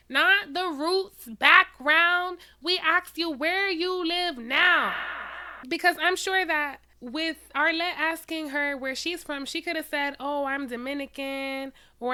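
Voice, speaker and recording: Woman, reaction host, sassy, animated, bright tone, dry close mic. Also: high voice, no room sound. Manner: sassy, animated, talks straight to viewers. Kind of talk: casual reaction commentary.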